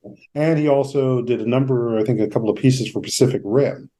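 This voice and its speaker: A man with a soft-edged, mellow voice at an average pitch hosts a casual conversation. He speaks in a halting, roundabout way as he works toward his point. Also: low-quality recording. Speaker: podcast host. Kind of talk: casual interview chat.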